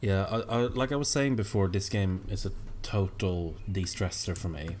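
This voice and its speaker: A man streams a game from his home computer and talks into a desk mic. His voice sits low but swings widely as he chats, soft-spoken and thankful toward his viewers. Faint keyboard clicks sit under his voice. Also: animated, normal speed, a little hiss or hum. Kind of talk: casual live-stream chat while gaming.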